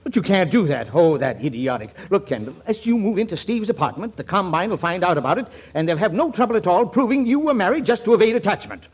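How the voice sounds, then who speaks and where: Male, bossy lawyer, insistent, high and highly animated voice, old-time radio comedy. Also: fast, few pauses. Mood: exasperated. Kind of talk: 1940s radio comedy acting. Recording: old 1940s radio broadcast.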